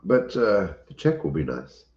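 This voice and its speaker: Cajun man, very deep voice, relaxed livestream chat, echoey lo-fi audio. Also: unhurried. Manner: easygoing, chuckles often, occasional coughs. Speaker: homestead channel host. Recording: echoey room, dull lo-fi sound.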